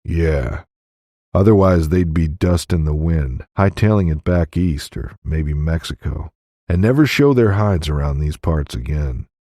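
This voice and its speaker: A man's voice: rumbling low, expressive, measured pace, warm tone, no echo, studio quality, exaggerated voice. A man voicing a wary, shrewd Old West drifter with serious, drawling frontier talk in an audiobook story. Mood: grim, wary.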